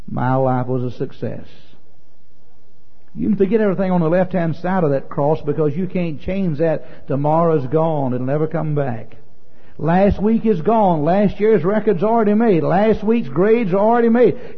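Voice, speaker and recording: A man's voice: high-pitched, husky. A man preaches a sermon in an emphatic, repetitive, folksy way to a congregation. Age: 40s. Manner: emphatic, repetitive, folksy delivery with rhetorical questions. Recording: dull lo-fi recording in a reverberant hall.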